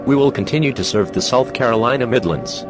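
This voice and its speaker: A man reading a scripted emergency alert broadcast in a steady, formal announcing manner. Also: medium pitch, fast. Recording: music playing behind.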